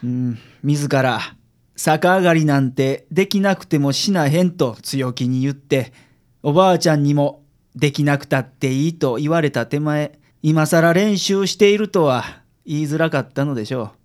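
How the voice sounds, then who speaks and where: A man with a Kansai accent acting a confident, frank private detective in a radio drama, explaining things in a measured way. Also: on the high side, natural voice. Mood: calm, formal. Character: confident, frank male private detective reporting calmly to a client. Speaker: amateur radio drama actor.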